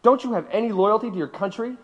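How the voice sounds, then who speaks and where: A man's voice: high voice, fast, lightly acted. Stern male government agent, echoing and dull actor. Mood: stern, insistent. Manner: clipped, official, insistent. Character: stern, polite 1919 government agent interrogating a radical. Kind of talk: audio drama acting. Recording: muffled, reverberant room sound.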